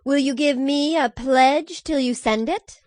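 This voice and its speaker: A woman's voice: high voice, measured pace, a little mellow, no echo, clean studio sound. A woman playing a composed, shrewd young widow in an audio Bible drama. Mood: bargaining.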